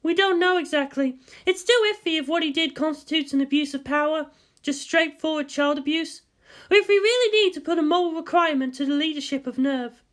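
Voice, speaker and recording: A woman's voice: high-pitched, brisk, pitched above the performer's own voice, close to an everyday voice. A woman voicing an exasperated but protective military guardian, emphatic and wearily authoritative, in a story reading. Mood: irritated, firm.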